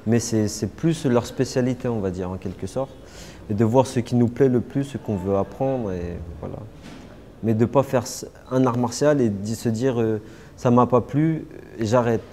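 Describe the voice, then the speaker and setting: A young man, answering interview questions casually, halting often with fillers and restarts. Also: mid-range voice, mellow, a little hiss or hum. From Paris suburbs, France. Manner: hesitant, many fillers and restarts. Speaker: interviewee, martial artist.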